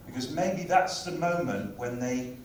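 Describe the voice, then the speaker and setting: A man addresses a conference audience in a relaxed, lively lecturing manner. His voice sits at an ordinary pitch and is picked up from some distance away. It rings through a very reverberant hall with a faint hiss of room noise. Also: a little slow. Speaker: conference speaker on language teaching. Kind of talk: conference talk. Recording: very echoey hall, far from mic.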